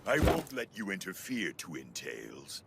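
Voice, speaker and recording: An actor playing a solemn, haughty monster warrior in an English anime dub, pompous and theatrical. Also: male voice, low voice, huge pitch swings, a little slow, very noisy, full character voice. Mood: menacing, devoted.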